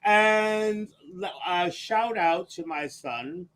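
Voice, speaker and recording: A man with a raspy whiskey voice, co-hosting a drag podcast in a teasing, theatrical chat style. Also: very slow, fairly bright tone, slight background noise. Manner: theatrical, teasing, sweeping pitch. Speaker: drag queen podcast co-host. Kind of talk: campy casual chat.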